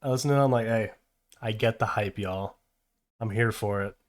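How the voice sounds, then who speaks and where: A man's voice: fairly low pitch, normal speed. A man hosting a casual album-review podcast, talking loosely with lots of fillers and playful asides, his voice worn from a long session. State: losing his voice. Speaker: podcast host. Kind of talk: casual music review chat.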